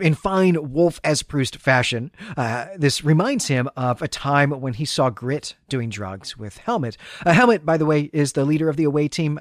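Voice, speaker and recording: A man's voice: fairly high pitch, dry recording, clean studio sound. A man hosting a literary podcast, discussing and recapping fiction in discursive, scholarly phrasing with colloquial asides. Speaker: podcast host.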